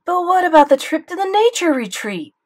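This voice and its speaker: A woman's voice: very high-pitched, very animated, a little fast, pitched above the performer's own voice. A woman reading aloud, voicing an upset young boy who whines and is near tears. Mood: pleading.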